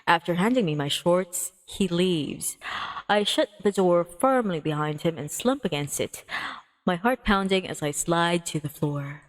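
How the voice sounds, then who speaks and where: A woman's voice: fairly low pitch, expressive, unhurried. A woman streamer narrating a visual novel aloud in a dramatic read-aloud style. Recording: music playing behind the voice. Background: music.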